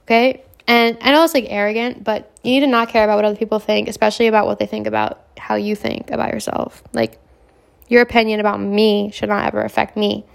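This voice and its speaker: A young woman hosting a casual self-help podcast, hoarse from a cold, talking in a brash, self-assured way and swearing freely. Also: mid-range voice, fairly even intonation, quick pace. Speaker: podcast host.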